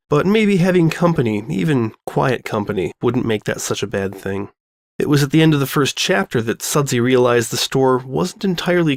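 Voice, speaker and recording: A man's voice: mid-range voice, vocal fry, no echo. A man reading a scripted story as its narrator. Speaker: narrator reading fan fiction.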